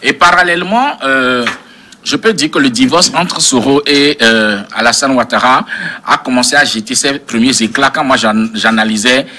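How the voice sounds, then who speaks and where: A man's voice: mid-range voice, moderate pace, bright, forceful tone, slight background noise. A man speaking as a panel analyst in a political debate, professorial and long-winded with learned references.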